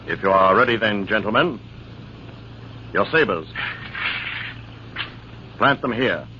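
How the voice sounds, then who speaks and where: A man playing a brisk, formal army major, commanding in the manner of old-time radio drama. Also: fairly low pitch, expressive. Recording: dull, faintly noisy old radio transcription.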